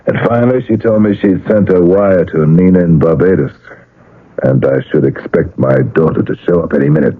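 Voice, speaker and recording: An actor playing a weary, desperate painter in a 1970s radio drama, speaking in halting, broken phrases. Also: male voice, low-pitched. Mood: agitated, suspicious. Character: weary, desperate male painter, middle-aged or older, brooding. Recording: muffled, lo-fi old radio broadcast.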